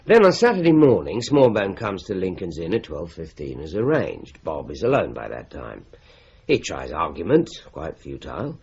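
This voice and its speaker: An actor playing a steady, methodical police inspector, measured and confident, in a muffled old radio play. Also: male voice, low-pitched, slightly rough, heavily acted. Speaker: detective inspector. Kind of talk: 1950s-style radio drama acting. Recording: dull, muffled vintage radio recording.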